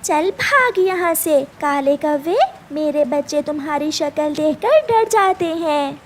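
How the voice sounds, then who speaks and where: A woman's voice: high-pitched, expressive, takes it slowly, a little hiss or hum. A woman voicing a caring, protective mother sparrow in a cartoon with a motherly manner. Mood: scolding. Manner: affectionate, motherly.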